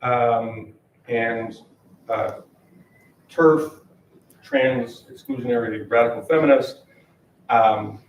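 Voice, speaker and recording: A man's voice: mid-range voice, lively intonation, slow, long pauses, slight background noise. Male panel moderator, hesitant, lots of ums, distant from the mic in a roomy hall. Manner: hesitant, many fillers. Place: lecture hall with audience.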